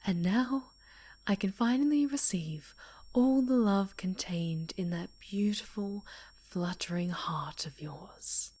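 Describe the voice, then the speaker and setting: A woman playing an obsessive, possessive dark-winged superhero villainess in an ASMR roleplay, with a breathy, whispery voice and menacingly tender delivery. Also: mid-range voice. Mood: possessive longing. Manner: slow, deliberate, pleading possessiveness. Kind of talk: ASMR roleplay monologue, theatrical voice acting.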